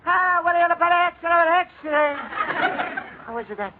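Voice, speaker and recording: An actor playing a kindly, lonely Italian immigrant in a radio comedy, speaking earnest, bewildered broken English with an Italian accent. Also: male voice, very high voice, expressive, a little slow. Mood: excited. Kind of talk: old-time radio comedy acting. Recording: noisy, tinny old radio recording.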